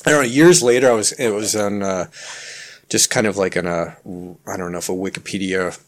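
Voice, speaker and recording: A man from Canada whose first language was Ukrainian, a guest author talking in a halting, filler-heavy way on a podcast. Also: lowish voice. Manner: halting, many fillers and restarts. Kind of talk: casual podcast conversation.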